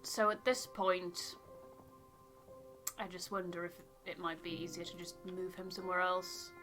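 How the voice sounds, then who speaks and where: A woman's voice: on the low side, steady tone, a little slow, over music. A woman co-hosting a gaming playthrough in casual chat. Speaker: playthrough co-host and voice reader.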